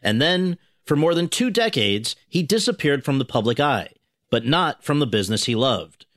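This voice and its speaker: Measured male podcast host, dry sound. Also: medium pitch, animated, a bit bright, dry recording. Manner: formal, measured, reads introduction. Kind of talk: live podcast interview before students. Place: university venue with audience.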